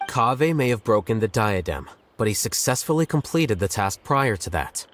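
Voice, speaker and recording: A man's voice: lowish voice, very animated, normal speed, fairly bright tone, a little hiss or hum. A man voicing a composed, blunt, aloof academy scribe, speaking formally, precisely and dryly in a video game drama. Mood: formal, detached.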